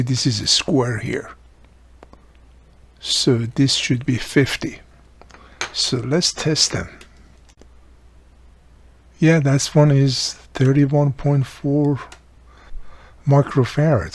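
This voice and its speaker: Gravelly, husky man explaining repairs. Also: medium pitch. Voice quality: raspy, gravelly. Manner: explains step by step, thinking aloud. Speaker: repair video host. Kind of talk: casual hands-on tutorial narration.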